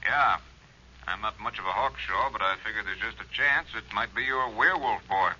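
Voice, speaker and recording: A man's voice: fairly low pitch, brisk, bright, forceful tone, slight background noise. A man playing a chummy, folksy auto theft officer in 1940s radio drama style. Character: folksy, chatty male auto theft cop. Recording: muffled old radio broadcast.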